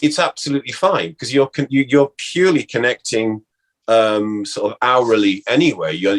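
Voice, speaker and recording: A man, an actor being interviewed, talking casually and reflectively, haltingly repeating words as he thinks aloud. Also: mid-range voice, a bit bright, poor audio.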